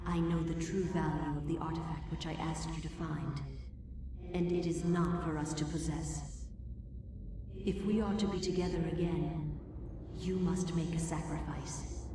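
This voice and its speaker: A woman voicing a dead, cursed woman in a video game cutscene, speaking slowly and gravely in hushed tones. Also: low-pitched, steady tone, noisy background. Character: dead cursed woman, mutilated lover, solemn. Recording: echoey, distant, game music behind.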